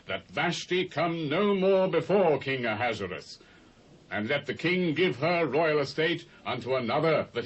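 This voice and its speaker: A man's voice: a little high, exaggerated voice, rough recording. A British actor playing an indignant Persian prince who advises his king in formal, emphatic oratory in a scripture drama. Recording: slightly rough old cassette transfer.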